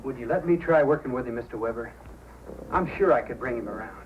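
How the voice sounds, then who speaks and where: A man's voice: medium pitch, expressive, quick pace, close to an everyday voice. An actor playing an eager, earnest, polite teenage boy in an old-time radio drama. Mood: pleading. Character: Dave, eager, pleading teenage boy who loves dogs. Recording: muffled, noisy old radio recording.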